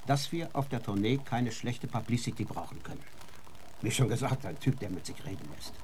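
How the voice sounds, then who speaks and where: Casting: male actor as chummy, crooked tour manager for radio drama, glib and cajoling, highly animated pitch, faint noise behind. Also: natural voice. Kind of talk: German radio drama acting.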